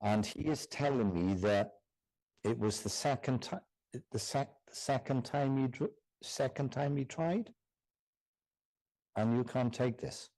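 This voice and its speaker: A man's voice: medium pitch, slow, long pauses, a little mellow, poor audio. A man working as a guest medium in a church service, speaking to audience members with frequent repetitions and "do you understand?" check-ins.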